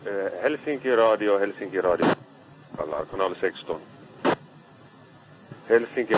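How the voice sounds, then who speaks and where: A man working as a ship's radio operator, brief and matter-of-fact in radio procedure. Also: lowish voice. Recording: muffled, noisy archive marine radio.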